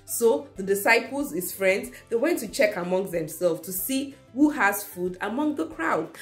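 Animated woman telling a children's Bible story. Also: a little high. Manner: animated, repeats key phrases for kids. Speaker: children's church teacher. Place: indoor room at home.

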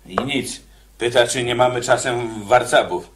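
An actor in a radio play playing a cocky condemned prisoner who chats wryly and casually about death. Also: male voice, mid-range voice, a little slow, heavy background noise, natural voice. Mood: wry, matter-of-fact. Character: cocky, cheerful condemned male prisoner, a killer.

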